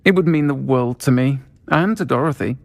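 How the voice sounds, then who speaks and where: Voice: mid-range voice, moderate pace, gravelly, natural voice. A performer playing a kindly, caring witch who keeps a pub in a video game, speaking with a British accent in a familiar, considerate manner. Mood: caring, confiding.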